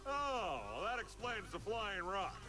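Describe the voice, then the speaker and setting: A man's voice: sits high, animated, a little slow. A man playing a cheerful, carefree and rather dim balloon pilot in a cartoonish fantasy game, breezy and goofy.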